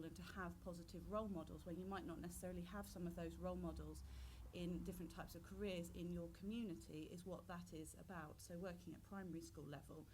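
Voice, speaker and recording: A woman on a panel explains at length in fluent, earnest sentences. Her voice sits fairly low, with a little room noise behind her. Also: darkish tone, low-quality recording. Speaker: university panelist. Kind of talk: panel discussion.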